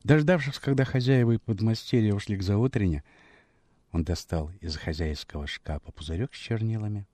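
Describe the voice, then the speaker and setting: Guy reading a classic story on radio, deep voice, measured and expressive, really dry close sound. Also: no echo. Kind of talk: expressive literary narration for radio.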